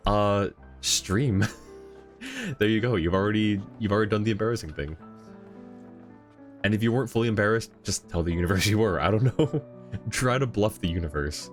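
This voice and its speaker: A man's voice: fairly low pitch, normal speed, long pauses, soft background music. A man streaming and chatting casually with viewers, laughing often in an easygoing, rambling way.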